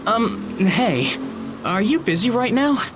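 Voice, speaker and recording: An actor playing an awkward, polite teenage high school boy in an audio drama, speaking hesitantly with small stammers. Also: very animated, slow, background noise, full character voice. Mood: awkward, eager. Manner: hesitant, stammering, nervous chuckles.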